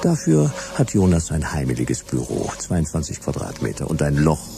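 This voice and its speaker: An actor playing a weary, dry-witted private detective in a hard-boiled radio play, terse and sardonic. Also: male voice, rumbling low, huge pitch swings, moderate pace, creaky, heavily acted. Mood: wry narrating. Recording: music behind the voice.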